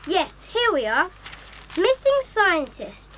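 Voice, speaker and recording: An actress playing a cheeky, brisk young schoolboy in a children's adventure audio drama. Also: female voice, extremely high pitch, very animated, background noise, full character voice. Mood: curious.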